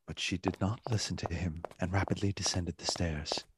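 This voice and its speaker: A man's voice: low-pitched, steady tone, moderate pace, noisy background. A man narrating a literary radio play in a formal, theatrical storytelling manner.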